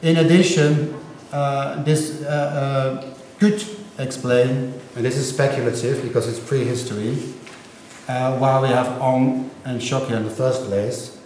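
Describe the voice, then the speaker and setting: A man's voice: mid-range voice, fairly even intonation, unhurried, darkish tone, a little hiss or hum. Dutch-native man lecturing in an echoey room. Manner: explanatory, checks understanding with frequent "right?". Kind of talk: university lecture on linguistics.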